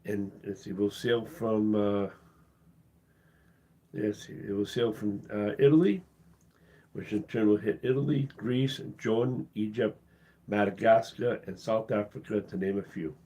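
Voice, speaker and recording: Casual live-stream host, man, low mellow husky voice going hoarse, halting delivery. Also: steady tone, poor audio. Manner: halting, with many uh's and restarts. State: losing his voice, coughing. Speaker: cruise channel host.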